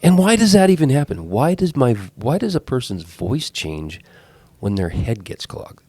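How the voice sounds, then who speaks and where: A man's voice: medium pitch, sing-song, normal speed, darkish tone, husky, no room sound, faint music behind. A man hosting a podcast with a head cold and a clogged, congested voice, talking casually and rambling with frequent restarts. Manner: rambling, self-correcting. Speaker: podcast host. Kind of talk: casual talk.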